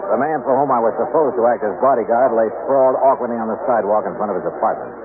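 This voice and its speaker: An American actor playing a brisk, hard-boiled insurance investigator in a 1950s radio detective drama. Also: male voice, mid-range voice, background noise, close to an everyday voice. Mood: wry narration. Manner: clipped, urgent, matter-of-fact. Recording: tinny vintage radio, loud music bed.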